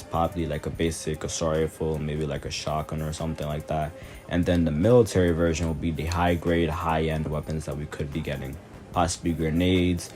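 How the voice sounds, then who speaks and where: Male gaming host, deep voice, casual explainer delivery over music. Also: a little mellow.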